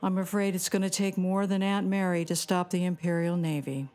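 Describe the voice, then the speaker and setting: Guarded, plucky woman pilot acted, voice a little low, for an audio play. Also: little pitch movement, fairly quick, a little mellow, smooth, faint music behind, natural voice. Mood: relieved, wry. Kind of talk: audio drama acting.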